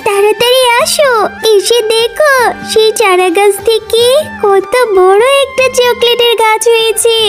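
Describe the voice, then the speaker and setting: A woman voicing a sweet, childlike little fox girl in a children's cartoon, eager and pleading. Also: very high-pitched. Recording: music behind the voice.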